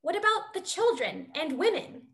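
A woman's voice: extremely high pitch, big pitch swings, moderate pace, a bit bright, heavily acted. A woman in a dramatic play reading, playing a distressed village woman with a pleading manner. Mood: distressed protest. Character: distressed village woman objecting to unfair rule.

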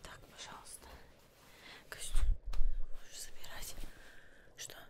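Young woman doing a friendly busy witch tailor, asmr roleplay, all soft whispering right up on the mic, soothing. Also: lightly acted. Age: young adult. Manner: soft, unhurried, soothing, with murmured repetitions. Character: friendly, busy witch seamstress fitting a Halloween costume. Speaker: ASMR performer. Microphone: close microphone.

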